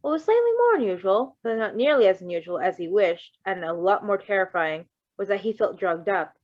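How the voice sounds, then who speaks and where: A woman reading fan fiction aloud with casual asides, expressive and emotionally invested in the story. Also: medium pitch, brisk, no room sound, narrow, tinny audio.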